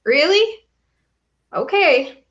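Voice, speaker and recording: A woman's voice: high voice, very animated, drawn-out words, fairly bright tone, lo-fi sound. Slightly lofi mic, woman chatting books, dry sarcastic n skeptical. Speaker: book club podcast panelist. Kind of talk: casual book discussion.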